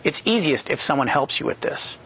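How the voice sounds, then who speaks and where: A man's voice: fairly high pitch, lively intonation, brisk, sharp tone. A man in his thirties, a product manager, giving a scripted, upbeat training presentation on a studio set, speaking into a headset mic. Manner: upbeat, jokey, clearly explaining steps. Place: studio set with a yellow backdrop. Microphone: headset boom mic.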